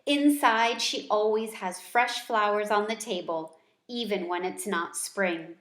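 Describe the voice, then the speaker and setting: A woman reading a children's book aloud in an expressive storytelling style. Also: mid-range voice, measured pace, reverberant. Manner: expressive, acting out character dialogue.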